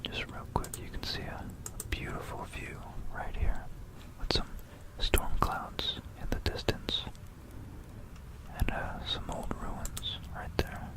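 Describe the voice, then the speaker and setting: An ASMR host whispering an educational history talk in an unhurried, explanatory manner, close to a stereo microphone. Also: low-quality recording. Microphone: close stereo binaural microphone.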